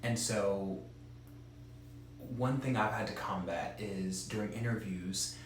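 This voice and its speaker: A man's voice: on the low side, takes it slowly, slight background noise. Earnest man who stutters, echoey room. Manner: earnest, direct and emphatic. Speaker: presenter for a stuttering advocacy group. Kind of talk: instructional talk.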